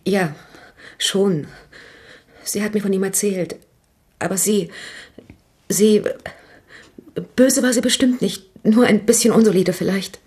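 A woman's voice: mid-range voice, slow, pauses often, darkish tone, slightly rough. An actress playing a nervous, talkative woman shop owner in a radio crime drama, speaking hesitantly. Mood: hesitant, confiding. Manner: hesitant, gossipy, restarting sentences.

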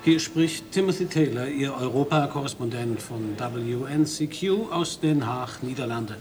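An actor playing a radio correspondent in a radio play, narrating his report in a dry, measured, observant way and questioning a host. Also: mid-range voice, loud music behind the voice, close to an everyday voice. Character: male foreign radio correspondent, wry observer.

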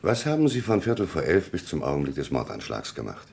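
A man acting a probing, deliberate police investigator in a German radio crime drama, with a gravelly, husky voice and pointed questions. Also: extremely low pitch, wildly swooping pitch, a little mellow, exaggerated voice. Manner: questioning, hesitant pauses, then pointed.

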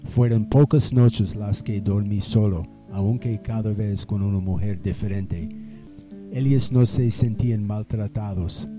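Slow, courtly old settler played by a man. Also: lowish voice, fairly even intonation, over music, natural voice. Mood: unashamed, defensive. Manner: slow, formal, halting. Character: proud old German settler man, revived after a century, courtly. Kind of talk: radio drama acting.

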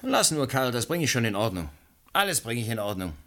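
A glib, animated newspaper reporter. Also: male voice, mid-range voice, fairly quick. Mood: fervent, boastful. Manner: persuasive, cocky. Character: glib, ambitious, debt-ridden newspaper reporter. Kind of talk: radio play acting.

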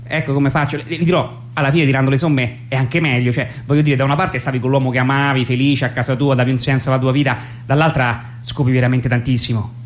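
An actress playing a brash, self-absorbed young poll interviewer who rambles and argues sarcastically in a comic radio play. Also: female voice, rumbling low, fairly even intonation, quick pace, few pauses. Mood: brazen.

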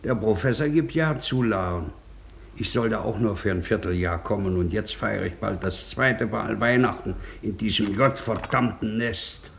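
A gravelly, fairly low male voice in a radio drama. He is a sanatorium long-timer, a husband with poor manners. He grumbles bluntly and gruffly. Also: moderate pace, a bit bright, a little hiss or hum. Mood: grumbling. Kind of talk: German radio play acting.

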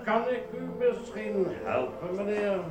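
Pompous high-voiced male store manager. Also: very animated, measured pace, roomy sound, a crowd in the background. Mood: officious. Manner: pompous, officious. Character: smug, regimented male assistant supermarket manager proud of order. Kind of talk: radio play acting.

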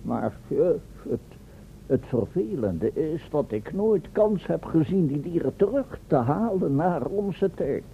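An actor playing a pompous, long-winded inventor professor who lectures formally and corrects himself, in a Dutch radio play. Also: male voice, a little high, expressive, slow, creaky, full character voice. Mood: explaining. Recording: tinny band-limited old radio recording, faint noise.

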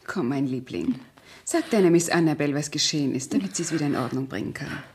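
An actress playing a composed, secretive woman in a radio crime drama, speaking softly and carefully. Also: low voice, lively intonation, fast, slight background noise. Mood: soothing, secretive. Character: composed, secretive woman, trusted member of the household.

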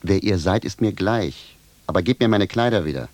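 An actor playing a simple, bewildered and stubborn village cobbler in a radio drama, speaking bluntly and with a sense of grievance. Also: male voice, sits low, expressive, moderate pace, a little mellow, no echo. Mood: baffled, grumpy. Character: plain-spoken, bewildered, stubborn village cobbler, grumbling indignantly. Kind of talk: theatrical radio drama dialogue.

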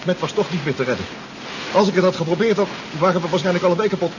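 A worn-out, rattled submarine pilot, played by a man, speaks haltingly over a loud engine drone. His voice sits fairly high, and he stumbles and repeats himself. It is a muffled 1970s radio play recording. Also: background noise, natural voice. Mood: shaken, apologetic. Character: distraught, exhausted submarine pilot reporting by radio. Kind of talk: 1970s radio drama acting.

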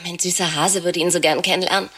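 A timid, starstruck woman in a radio drama, hesitant and eager in a mid-pitched voice. She trails off and stumbles when she asks for things. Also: steady tone, fast talker, pushed and bright, breathy edge, full character voice. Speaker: radio play actress.

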